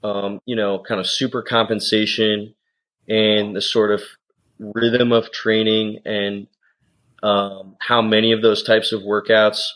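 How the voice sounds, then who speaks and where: A man's voice: fairly low pitch, little pitch movement, takes it slowly, fairly bright tone, low-quality recording. A man from the United States, a coxswain and coach, answering interview questions in a thoughtful, casual way with lots of "you know" and "um". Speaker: rowing guest, coxswain and coach.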